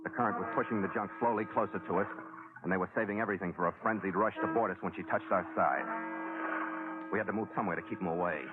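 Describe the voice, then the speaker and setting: A man's voice: low voice, quick pace, loud music behind the voice, natural voice. An American man playing a hard-boiled, brusque sea captain in a 1940s radio adventure, terse in speech and storytelling. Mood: tense narrating. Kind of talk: 1940s radio drama narration and acting. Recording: tinny old radio recording.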